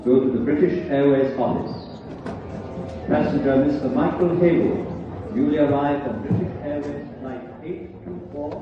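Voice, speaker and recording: An actor playing a formal male airport announcer paging passengers over a loudspeaker in an audio drama. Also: fairly high pitch, muffled recording, background noise, lightly acted. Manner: measured, formal. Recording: echoey airport loudspeaker, long reverb, distant.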